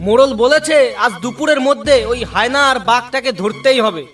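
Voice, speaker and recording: A man's voice: extremely high pitch, a little fast, fairly bright tone, distant mic, soft background music. A man voicing a deferential, anxious constable in a cartoon, reporting to his superior. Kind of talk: cartoon voice acting.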